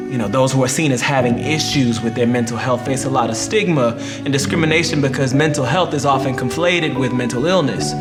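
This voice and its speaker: An actor playing a wounded, reflective man recounting a painful childhood memory in a theatrical memoir monologue, with deliberate pauses. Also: mid-range voice, lightly acted. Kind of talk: filmed dramatic monologue reading, first-person memoir.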